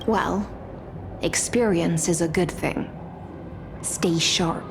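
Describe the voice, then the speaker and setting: A woman's voice: on the low side, takes it slowly, creaky, background noise. A woman playing a cool, wry, seasoned warrior guide in a video game, with a dry, commanding manner. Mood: cool, instructive. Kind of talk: English video game voice acting. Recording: loud music behind the voice.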